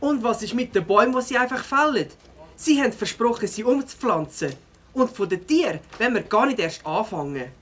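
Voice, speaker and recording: A plucky young beaver for a children's audio play. The voice is high, husky and animated. The character is outspoken and protective. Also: female voice, fairly quick. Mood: indignant.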